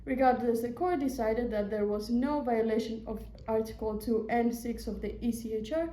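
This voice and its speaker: A woman's voice: a little high, normal speed, dark tone. Young Greek woman reading a law presentation. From Greece. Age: young adult. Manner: reads a prepared script with small slips. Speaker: law student. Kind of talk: read-aloud presentation. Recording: echoey room with faint noise.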